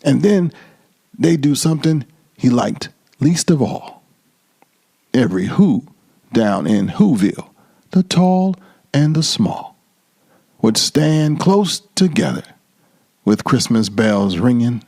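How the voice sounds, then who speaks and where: Slow, animated male storyteller with creaky voice. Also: mid-range voice. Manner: theatrical, drawn-out emphasis and repetition. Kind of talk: dramatic children's storybook reading.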